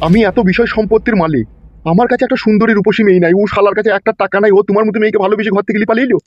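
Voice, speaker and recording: Man acting a fast-talking, lecherous cartoon landlord, dull recording. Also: sits high, fairly even intonation. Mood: indignant. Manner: taunting, crude, rapid questioning. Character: pushy, lecherous, mocking male landlord. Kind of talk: comic cartoon dialogue. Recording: dull lo-fi track with music.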